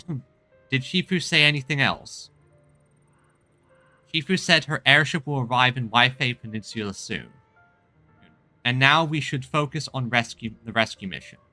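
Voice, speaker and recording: Bright-voiced male streamer reading aloud. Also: mid-range voice, dry recording. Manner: stumbles and repeats phrases while reading. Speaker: streamer playing a game. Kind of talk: reading game dialogue aloud.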